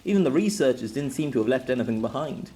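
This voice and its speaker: A man reading his own fantasy novel aloud as an audiobook, in an even, unhurried narration. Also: mid-range voice.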